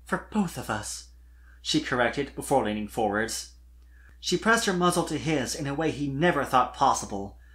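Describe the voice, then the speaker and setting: An expressive storyteller narrates scripted fiction with highly animated intonation. The voice sits in a middle range and swings up and down through each sentence. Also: normal speed.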